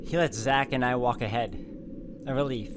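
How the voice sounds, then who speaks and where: A man's voice: mid-range voice, steady tone, moderate pace, background noise. A man hosting a gameplay video, reading visual novel narration aloud as a story.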